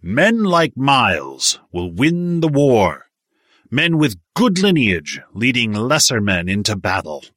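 A man voicing a brash, domineering wealthy businessman in an audiobook, forceful and cocksure. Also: medium pitch, sing-song, takes it slowly, no echo, clean studio sound, voice pushed up, full character voice. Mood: proud, rousing.

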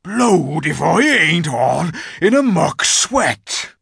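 Big good-natured simple giant, animated. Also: male voice, fairly high pitch, extremely slow, creaky, breathy edge, dry recording, clean studio sound, voice pushed up, full character voice. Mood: puffed, friendly. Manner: rustic, ungrammatical, deferential speech.

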